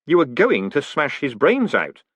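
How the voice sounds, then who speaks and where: Man reading as brisk, wry postmaster, lively delivery, dry close mic. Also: on the high side, slightly rough, dry recording, muffled recording, pitched above the performer's own voice, exaggerated voice. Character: quick-witted male postmaster, brisk and wry.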